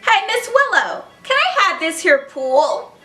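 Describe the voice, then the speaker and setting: A woman voicing an eager young schoolboy in a storybook, excited and earnest. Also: very high-pitched, a little slow, roomy sound, faint music behind, voice pushed up, full character voice.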